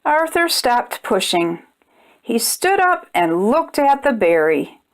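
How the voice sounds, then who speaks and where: High-voiced woman reading to children, very animated. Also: takes it slowly. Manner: expressive, addressing young children directly. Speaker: storytime host reading a children's book. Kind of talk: children's read-aloud narration.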